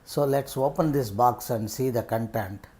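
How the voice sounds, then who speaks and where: A middle-aged man with an Indian accent giving unscripted unboxing commentary as a vlogger, in halting, piecemeal phrases. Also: mid-range voice, mellow. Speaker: vlogger reviewing rider gear.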